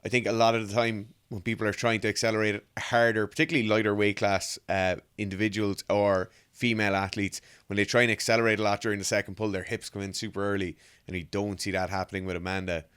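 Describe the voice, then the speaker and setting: A man co-hosting a strength sports show, giving measured, analytical breakdowns of lifting technique in a casual way. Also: lowish voice, little pitch movement, fairly bright tone, smooth, no echo, studio quality. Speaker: co-host.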